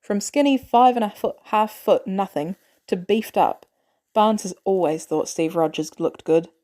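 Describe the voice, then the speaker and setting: A woman reading scripted fiction as an audiobook narrator, with expressive delivery. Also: medium pitch, a little slow.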